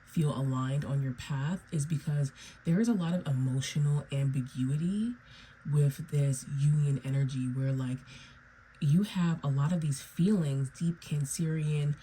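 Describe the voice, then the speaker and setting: A woman talks her viewers through a tarot spread in a low, mellow voice that is a little raspy from feeling unwell. She wanders through her points and keeps checking in with "okay?" and "right?". A faint hiss of background noise sits under her voice. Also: steady tone, unhurried, rough recording. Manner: meandering, repeats "okay?" and "right?". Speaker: tarot reader. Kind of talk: solo tarot reading to viewers.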